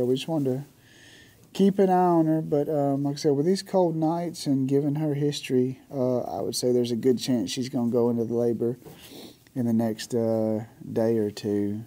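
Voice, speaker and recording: A man, talking casually to camera about his farm, nasal from a cold, halting with many fillers and restarts. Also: medium pitch, mellow. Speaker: farm vlogger. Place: barn at night.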